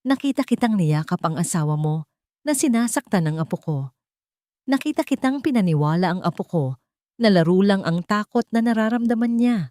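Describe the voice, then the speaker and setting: A woman's voice: mid-range voice, lively intonation, fairly quick, mellow, no echo, clean studio sound, close to an everyday voice. A woman voicing a stern, determined grandmother in an audio drama story, firm, cold and accusing. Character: stern, determined grandmother confronting her daughter.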